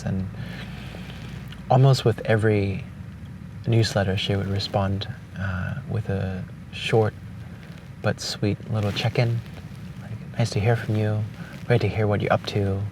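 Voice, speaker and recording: A young man from Hong Kong with Cantonese as his native language, speaking slowly and thoughtfully with pauses and restarts, recorded outdoors on a beach at dawn. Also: lowish voice. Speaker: vlogger. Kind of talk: reflective spoken letter. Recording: distant outdoor mic with noise.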